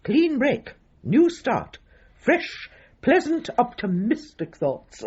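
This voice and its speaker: Bossy dog trainer, swooping delivery. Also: female voice, a little high, sing-song, takes it slowly, a little mellow, slightly rough, lo-fi sound, exaggerated voice. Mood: insistent. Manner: emphatic, rallying, fond of "my dear". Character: brisk, bossy, encouraging widowed female dog trainer. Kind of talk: gently comic radio drama acting.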